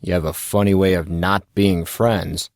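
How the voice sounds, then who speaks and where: Man doing a low, sardonic teenage boy. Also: level tone, moderate pace, no room sound, studio quality. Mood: indignant.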